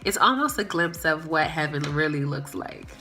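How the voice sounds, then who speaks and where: Bright-voiced young woman, upbeat. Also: deep voice, lively intonation, normal speed. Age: young adult. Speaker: young adult fellowship president. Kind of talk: to-camera introduction and testimonial. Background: soft background music.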